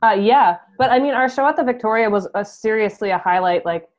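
A young woman chatting casually as a guest, in an informal, halting way. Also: on the high side. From Canada. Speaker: band musician, interview guest. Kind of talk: casual chat. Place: home room, video call. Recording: dull lo-fi video-call audio.

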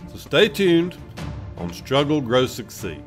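A man in his forties with a mid-range voice hosts a podcast interview from a small room. He sounds upbeat in his intro and asks relaxed questions with plenty of fillers. Faint music plays behind him. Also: mid-range voice, takes it slowly. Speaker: podcast host. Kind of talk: casual video-call interview.

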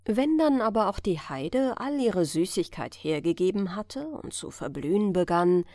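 A woman narrating a fairy tale as a bedtime audiobook, in a measured storytelling manner. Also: medium pitch, warm tone, dry recording, studio quality.

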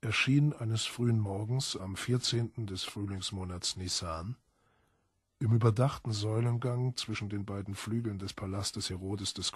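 A man's voice: fairly low pitch, breathy edge, no room sound, clean studio sound. A man reading literary narration for an audiobook in a dramatic, scripted style.

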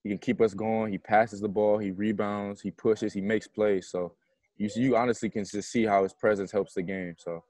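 A man's voice: lowish voice, fairly even intonation, moderate pace, a little mellow, no room sound. A young man, a college basketball player, giving informal, plain-spoken answers at a post-game press conference. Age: young adult. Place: indoor basketball arena, courtside. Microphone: earphone headset on a video call.

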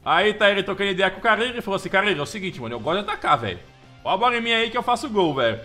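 A man's voice: high-pitched, rapid-fire, faint music behind. A man with a Brazilian accent giving slangy, casual commentary on a football game he is playing. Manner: slangy, chatty, keeps saying "mano" and "velho"; gets loud and excited over the crowd. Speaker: gameplay commentator. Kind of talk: casual let's-play commentary.

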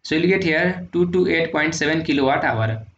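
A man working through engineering numericals in a steady, methodical lecture, often adding "uh" and "sir". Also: fairly high pitch, moderate pace. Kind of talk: solving numericals on handwritten notes. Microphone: voice-over on screen recording. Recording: echoey room, dull lo-fi sound, faint noise.

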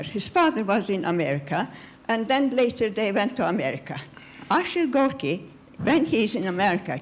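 An older woman with a Turkish accent, speaking haltingly from the audience of a lecture theatre into a handheld microphone. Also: medium pitch. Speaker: audience member asking at conference. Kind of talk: halting spontaneous speech to a panel. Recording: clearly noisy lecture hall.

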